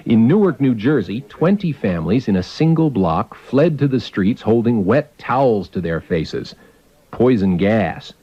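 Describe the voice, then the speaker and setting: A man narrating a scripted radio history documentary with a husky voice. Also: lowish voice, very animated, a little slow, dull audio.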